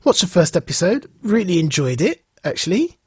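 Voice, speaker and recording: A man with a very husky, breathy voice chats as a podcast host, recorded dry and close. He tells stories in an animated, keen way and slips into acting out what people said. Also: high-pitched, normal speed, no echo. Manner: animated storytelling, acts out reported dialogue. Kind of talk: casual enthusiastic podcast chat.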